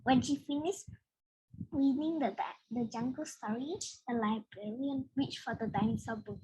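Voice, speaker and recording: A woman reading a children's picture book aloud in an expressive way, as if to young listeners. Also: on the high side, normal speed, slightly rough, poor audio. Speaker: read-aloud storyteller.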